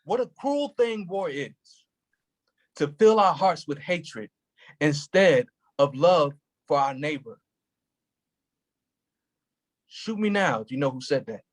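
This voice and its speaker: A man's voice: a little high, expressive, measured pace. An actor playing an anguished, furious police officer who is grieving his cousin, in a staged play reading with an intense, impassioned delivery. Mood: cold.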